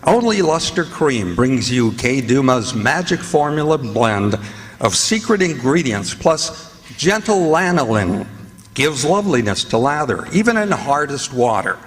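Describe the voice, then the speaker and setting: A man reading scripted announcements in the measured, formal manner of a 1940s radio host. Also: mid-range voice, big pitch swings. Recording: reverberant radio recording, loud music behind. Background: loud music.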